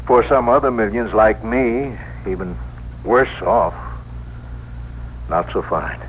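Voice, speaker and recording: An actor playing a weary, plain-spoken New York cab driver in a 1960s radio drama, hesitant, colloquial and earnest. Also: male voice, on the low side, takes it slowly. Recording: noisy, humming old radio broadcast.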